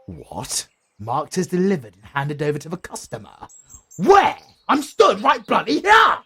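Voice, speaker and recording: Man playing a pompous British supervillain, wildly swooping pitch. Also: huge pitch swings, measured pace, pushed and bright, husky, full character voice. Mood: outraged, incredulous. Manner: grandiose, melodramatic, sardonic. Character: pompous, theatrical retired supervillain dark lord, male, easily outraged. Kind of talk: comedy audio roleplay acting.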